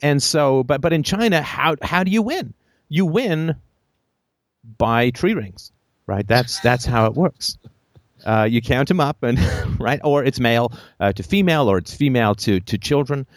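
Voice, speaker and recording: A man hosting a call-in show, talking in a lively, emphatic way and repeating phrases for effect. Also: medium pitch, unhurried, dry recording, studio quality.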